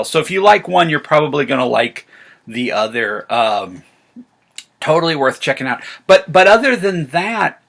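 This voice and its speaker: A man's voice: mid-range voice, creaky, sharp tone. A man talking casually to viewers as a rambling, hesitant enthusiast who often corrects himself. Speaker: science fiction author and vlog host. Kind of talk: casual retro sci-fi talk to viewers.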